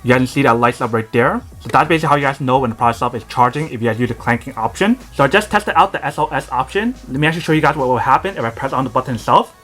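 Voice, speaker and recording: Man, mid-pitched, rattles through a hands-on gadget review at a rapid clip, casual, repetitive filler phrasing. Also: expressive, fairly bright tone. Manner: rapid, repetitive filler phrasing, addresses viewers as "you guys". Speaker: product reviewer. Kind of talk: casual product demo narration.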